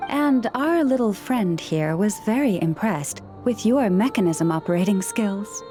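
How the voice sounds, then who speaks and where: A composed young woman guide, voiced by an actress in a game cutscene, speaking in a calm, reassuring way. Her voice sits at an ordinary middle pitch. Soft game music runs faintly underneath. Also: a little slow, lightly acted. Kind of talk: video game cutscene dialogue.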